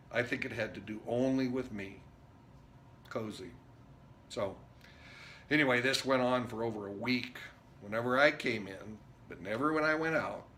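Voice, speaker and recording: A man performing a dramatic stage monologue as an intense, rambling drifter, speaking in emphatic broken phrases with pauses. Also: mid-range voice, creaky, pushed and bright. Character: Jerry, intense rambling drifter confiding a story. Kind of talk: theatrical dramatic monologue reading. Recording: noticeable background noise.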